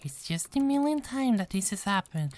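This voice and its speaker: A woman's voice: mid-range voice, sing-song, moderate pace, a little mellow, lightly acted. A woman playing a frazzled but friendly police detective in an ASMR roleplay, with a hesitant, stammering delivery that often repeats words. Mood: weary. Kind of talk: ASMR roleplay, one-sided dialogue.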